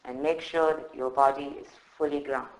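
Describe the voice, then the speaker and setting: A man leading a guided meditation in a calm, soothing, measured way with long pauses. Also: mid-range voice. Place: indoor room at a group meditation session. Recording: roomy, echoing live recording.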